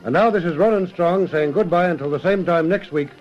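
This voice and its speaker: A man's voice: high-pitched, fairly quick. A man narrating a radio drama in a formal, solemn, scripted vintage style. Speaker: narrator and host. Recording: dull old radio recording. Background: faint music.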